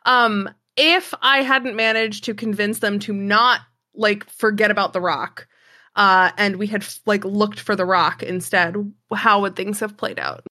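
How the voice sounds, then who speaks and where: Female tabletop player, mid-pitched and bright, in casual conversation. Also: steady tone, unhurried. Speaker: player in a tabletop role-playing game. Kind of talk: casual chat.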